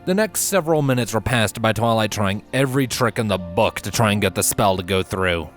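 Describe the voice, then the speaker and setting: A man narrating a fantasy story from a script in an expressive, dramatic way. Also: lowish voice, a little fast. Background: music.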